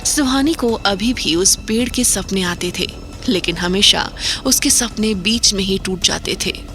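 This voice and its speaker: A woman narrates an audio drama in a steady storytelling manner. Her voice sits mid-range. Faint music plays behind her. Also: mid-range voice, measured pace. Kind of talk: scripted narration.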